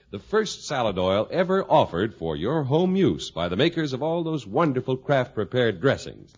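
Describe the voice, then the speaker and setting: A man's voice: lowish voice, breathy edge. A man announcing for a 1950s radio show in a polished, emphatic sales style. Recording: muffled vintage radio recording.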